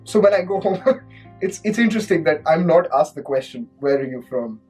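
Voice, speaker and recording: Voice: medium pitch, lively intonation, a little fast, darkish tone, slightly rough, soft background music, rough recording. Reflective young speaker. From Pune, India. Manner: reflective, thoughtful, light laughs. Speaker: international student. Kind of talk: documentary interview.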